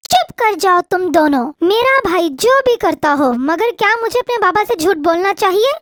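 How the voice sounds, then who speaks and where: Woman as an anxious, very high-pitched little sparrow girl. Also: expressive, moderate pace, fairly bright tone, dry recording, clean studio sound. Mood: resolute. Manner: pleading, childlike. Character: young female sparrow, anxious, tearful, honest child. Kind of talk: Hindi moral cartoon voice acting.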